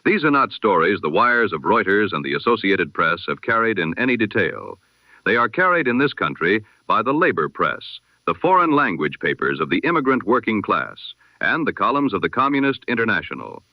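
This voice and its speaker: Old-time radio news guy, low voice, lots of swing in his pitch, muffled lo-fi sound. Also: very animated, no echo. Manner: measured, formal newsreader delivery. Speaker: news announcer. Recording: dull lo-fi radio-style sound.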